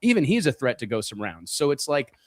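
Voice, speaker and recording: A man's voice: medium pitch, big pitch swings, a little fast, no room sound, studio quality. A man hosting a casual racing talk show, stressing points by repeating words. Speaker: show host. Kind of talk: casual podcast chat.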